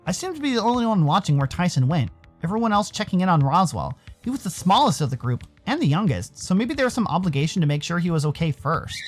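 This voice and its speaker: Animated American man narrating a story. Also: sits high, quick pace, few pauses, faint music behind. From Arizona, United States. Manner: theatrical, expressive. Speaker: narrator and streamer.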